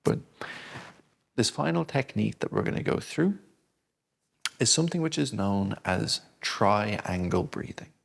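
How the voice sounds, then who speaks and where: A man gently guiding a breathing exercise, speaking slowly and soothingly. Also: lowish voice, sing-song, creaky. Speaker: breathing instructor. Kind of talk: guided breathing lesson.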